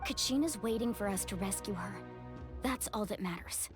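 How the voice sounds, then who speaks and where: A woman's voice: medium pitch, normal speed, over music, lightly acted. A woman voicing an earnest, determined young female warrior in an anime video game, speaking directly and sincerely.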